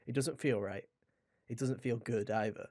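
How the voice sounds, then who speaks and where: A man's voice: medium pitch, moderate pace, darkish tone, no room sound. Casual male podcast host, dry close sound. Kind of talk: casual chat, podcast hosting.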